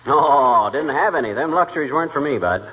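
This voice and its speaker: A man's voice: on the high side, expressive, fairly quick, lightly acted. A man playing a boastful middle-aged husband who rambles through folksy tall sailing yarns in 1940s radio comedy style. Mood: rambling, self-assured. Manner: blustering, rambling, interrupts with folksy grammar. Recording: muffled, hissy old radio broadcast.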